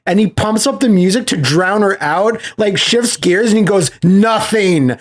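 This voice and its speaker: A man hosting a podcast in casual chat, telling stories in an animated, sweary way with laughter mixed in. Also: high-pitched, unhurried. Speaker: podcast host.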